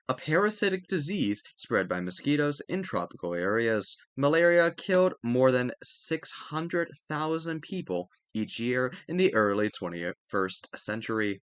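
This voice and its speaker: A man with a medium-pitched voice reads study material aloud. The sound is dry, with no room echo. His delivery is careful and instructional, with an occasional stumble that he corrects. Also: a bit bright. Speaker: narrator reading a history textbook aloud. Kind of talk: read-aloud educational narration.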